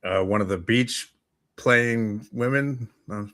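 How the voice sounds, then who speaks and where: A man's voice: medium pitch, takes it slowly, pushed and bright, dry recording, studio quality. A man co-hosting a casual chat show, talking in laid-back, slangy bro style. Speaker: co-host.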